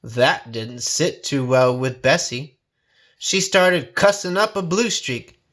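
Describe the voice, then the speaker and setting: A male storybook reader with a fairly high, bright voice. He takes his time over each phrase and tells the folksy tale with expressive, suspenseful drama. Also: takes it slowly. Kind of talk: dramatic scripted storytelling, read aloud in folksy first person.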